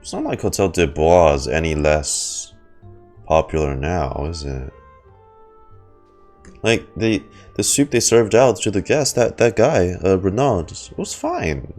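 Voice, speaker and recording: Casting: male game streamer, low unhurried voice, wry offhand commentary, faint music behind. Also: animated, a little mellow. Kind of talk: casual game reaction commentary.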